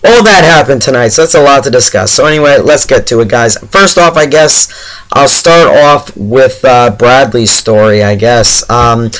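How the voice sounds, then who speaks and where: A man with a hoarse, tired voice giving a casual, chatty, somewhat halting TV episode review straight to his viewers. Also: medium pitch, fairly bright tone, poor audio. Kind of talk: casual spoken review to camera.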